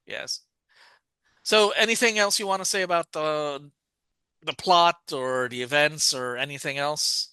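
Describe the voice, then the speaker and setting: A man co-hosts a podcast and walks through a story's plot in a loose, rambling way, restarting his sentences often. His voice is bright and sits a little high for a man. The sound is dry and close, with no room around it. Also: measured pace, smooth, no room sound. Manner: rambling, many restarts and fillers. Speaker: podcast co-host. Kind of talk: casual plot recap.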